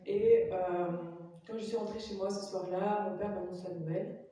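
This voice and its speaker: A young woman from Belgium with a Belgian French accent, giving a halting personal testimony in a chapel, pausing often with fillers. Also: medium pitch, steady tone, dark tone, a little hiss or hum. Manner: hesitant, many fillers. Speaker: testimony giver. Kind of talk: personal testimony in church, interpreted. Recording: echoey chapel from far off.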